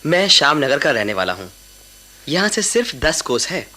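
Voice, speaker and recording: A man's voice: a little high, very animated, moderate pace, bright, forceful tone, a little hiss or hum. An actor playing a young village man who works at a monastery and is shyly in love, speaking hesitantly in a radio play. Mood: plain, earnest.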